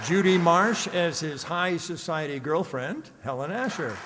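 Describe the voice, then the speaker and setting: A man's voice: on the high side, expressive, unhurried, crowd noise. A man announcing the cast credits of a radio play to a live audience. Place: reverberant hall before a live audience. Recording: noisy live hall with audience.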